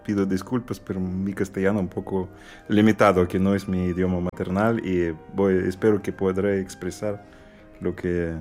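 A man with a Russian accent speaking Spanish as a guest in a radio interview, halting and searching for words with many fillers. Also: deep voice, little pitch movement, darkish tone, soft background music. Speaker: guest pianist. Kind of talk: interview conversation.

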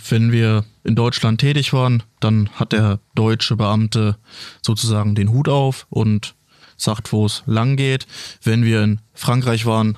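A man's voice: lowish voice, a little mellow, no echo. A man whose first language is French, a police officer, recounting his work plainly and matter-of-factly in a studio interview.

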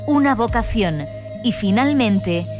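A woman's voice: fairly high pitch, lively intonation, slow pace, music in the background. A woman reading scripted narration to introduce a feature. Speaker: programme narrator.

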